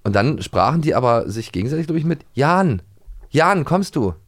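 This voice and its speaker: A man co-hosting a podcast in casual chat, excitable and often repeating his words. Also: medium pitch, normal speed. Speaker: podcast co-host. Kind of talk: casual chat about audio dramas. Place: at a podcast table. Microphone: podcast microphone on a stand.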